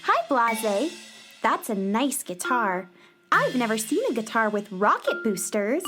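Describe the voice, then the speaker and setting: A woman's voice: fairly high pitch, big pitch swings, a little slow, over music. A woman playing a kind, earnest young space-traveling girl in a children's radio play, sweet and polite. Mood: friendly, wondering. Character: kind, wonder-filled young space cowgirl. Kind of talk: children's radio theater acting.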